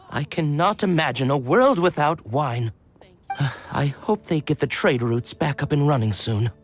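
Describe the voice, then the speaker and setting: A man's voice: on the high side, expressive, unhurried. An actor voicing a world-weary, melodramatic townsman in a fantasy game, mournful and given to sighing.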